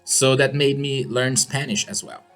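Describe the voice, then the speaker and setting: A man's voice: medium pitch, level tone, a little slow, fairly bright tone, faint music behind. A man from Brazil whose first language is Portuguese, speaking English with a Brazilian Portuguese accent as he chats through viewer questions in an upbeat way. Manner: chatty and upbeat, laughs often. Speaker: gaming YouTuber answering viewer questions. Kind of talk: casual Q&A chat.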